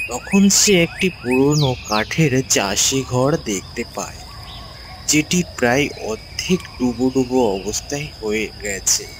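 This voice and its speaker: A man's voice: medium pitch, background noise. A man narrating a scripted ghost story for children as a storyteller.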